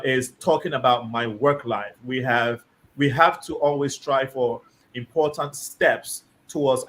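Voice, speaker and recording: A man hosting a live discussion show, reading out viewer comments and guiding his guests in a measured, deliberate way. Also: mid-range voice, fairly bright tone, a little hiss or hum, poor audio. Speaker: host.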